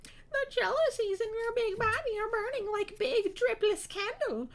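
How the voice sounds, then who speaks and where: Squeaky, haughty little foreign girl. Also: animated, a little slow, exaggerated voice. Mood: haughty, huffy. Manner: sing-song, huffy, self-important. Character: tiny, haughty, theatrical foreign girl with broken English.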